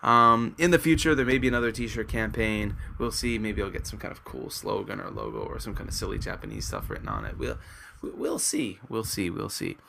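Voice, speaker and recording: A man's voice: fairly low pitch, expressive, brisk, vocal fry, pushed and bright, slight background noise. A man from Nova Scotia hosting a casual podcast, upbeat and chatty with many fillers, in a small home studio. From Nova Scotia, Canada. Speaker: podcast host. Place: small home studio room with a floor fan.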